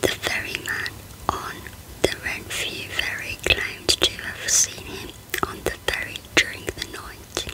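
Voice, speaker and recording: Slow whispering woman, ASMR narrator. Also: rough recording. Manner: hushed, unvoiced whispering. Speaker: host of a true crime channel.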